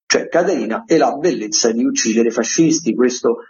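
Male radio presenter, mid pitch, semi-improvised culture listings, digressive and self-correcting, slightly muffled lo-fi sound. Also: moderate pace, a little mellow, a little hiss or hum.

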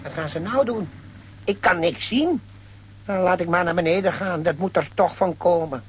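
An actor playing a grumbling, scheming villain who mutters to himself in a children's audio drama, with a husky voice. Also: male voice, high-pitched, moderate pace, a little hiss or hum, natural voice.

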